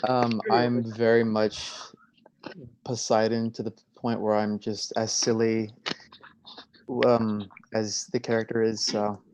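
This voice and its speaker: A man's voice: fairly low pitch, completely flat delivery, takes it slowly, a little mellow, slight background noise, poor audio. A man speaking casually as a cast member in a Q&A.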